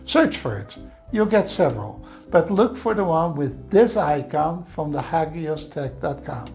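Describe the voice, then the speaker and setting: A man's voice: a little high, lively intonation, unhurried, creaky, darkish tone, faint music behind. Dutch-accented man teaching Bible grammar. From Netherlands. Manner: earnest, explanatory, reassuring asides.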